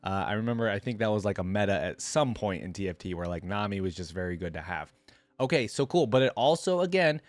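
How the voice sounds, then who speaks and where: A man hosting a reaction video, talking casually as he thinks out loud and reads text from the screen. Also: fairly low pitch, animated, fast, dry recording.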